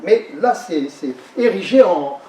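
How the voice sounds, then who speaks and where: A man with a fairly high voice giving a lecture, heard from some distance off the mic. His words bounce around an echoey hall. He talks in a halting way, repeating words and restarting phrases as he explains. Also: lively intonation, dark tone, slight background noise.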